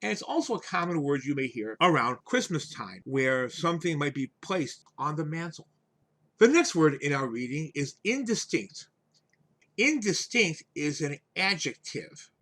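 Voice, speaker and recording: A man giving an instructional vocabulary lesson as a teacher, explaining things in a deliberate, emphatic way. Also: a little high, very animated, a bit bright. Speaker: English teacher, host.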